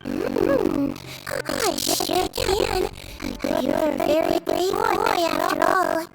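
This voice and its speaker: A woman voicing a small, gentle, playfully sly nurse in a video game, caring and politely teasing. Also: very deep voice, wildly swooping pitch, drawn-out words, vocal fry, exaggerated voice, poor audio. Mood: gently firm. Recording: loud music behind the voice.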